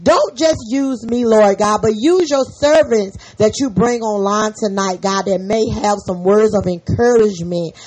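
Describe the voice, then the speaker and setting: A hoarse woman hosting a faith podcast, leading a fervent spoken prayer and an encouraging sermon full of repeated amens. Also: medium pitch, unhurried, few pauses, darkish tone, poor audio. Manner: fervent, repetitive, peppered with amen. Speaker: podcast host and prayer leader.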